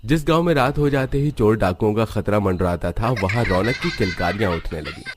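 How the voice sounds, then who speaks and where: Low-voiced male storyteller. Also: expressive, fairly quick, background noise. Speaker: story narrator. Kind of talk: scripted storybook narration for a Hindi cartoon.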